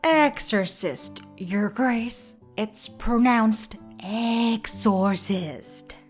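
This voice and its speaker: A woman voicing a confident young ghost hunter who talks in a dry, unhurried, businesslike way in an audio drama. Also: fairly high pitch, faint music behind.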